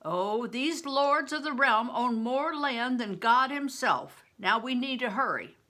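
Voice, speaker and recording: Woman putting on a hearty, emphatic travelling showman, lively pitch. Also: takes it slowly, heavily acted. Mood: brisk, dismissive. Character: big, hearty, worldly older male travelling mummer, reassuring.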